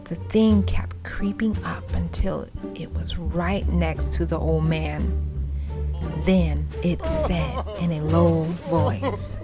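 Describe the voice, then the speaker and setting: A woman storyteller narrating a ghost story in a suspenseful, expressive, dramatic style. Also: fairly low pitch, slow pace. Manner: suspenseful, expressive, dropping to breathy hush. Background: music.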